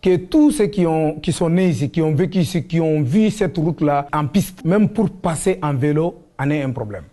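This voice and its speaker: A man's voice: fairly high pitch, lively intonation, moderate pace, hardly any pauses, warm tone, faint music behind. A man with a West African accent answering in a documentary interview, explaining in a hesitant way and repeating his phrases.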